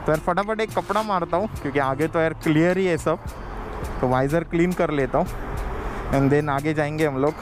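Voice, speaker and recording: A man's voice: fairly high pitch, music in the background. A young man, chatting casually as he rides a motorbike and laughing often. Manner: chatty, slow, mixes English in, laughs often. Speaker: motovlogger. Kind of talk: casual helmet-cam ride commentary. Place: outdoors on a motorbike in city traffic. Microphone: helmet-mounted action camera. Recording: wind and traffic noise.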